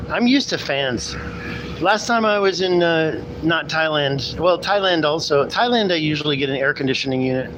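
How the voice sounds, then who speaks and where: A man's voice: on the high side, lively intonation, moderate pace. A man chatting casually to his viewers on a live stream while riding a city bus, rambling as he goes and talking through a pulled-down mask. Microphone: handheld camera mic, speaking through a pulled-down mask. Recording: bus noise behind. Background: bus engine and traffic.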